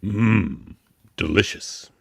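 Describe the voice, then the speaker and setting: A man voicing a gruff alien warrior in an amateur radio play, gravelly and husky, savouring his words. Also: deep voice, animated, very slow, no room sound, heavily acted. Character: gruff Klingon warrior savouring food in a dream.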